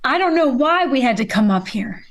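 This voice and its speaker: Woman as old radio sitcom mom, high voice, gentle n practical, bit worried. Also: expressive, normal speed. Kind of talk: 1950s family radio comedy acting.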